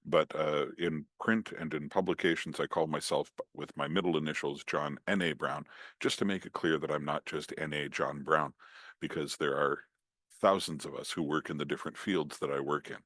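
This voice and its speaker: Very deep-voiced Scottish man giving a talk. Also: steady tone, normal speed, a bit bright, no room sound, clean studio sound. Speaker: guest speaker. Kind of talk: online talk. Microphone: computer mic on a video call.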